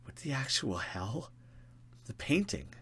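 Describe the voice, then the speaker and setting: A man's voice: medium pitch, sing-song, takes it slowly, a bit bright, husky, full character voice. A man voicing a frightened, urgent young woman in a horror visual novel, read out dramatically. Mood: shocked.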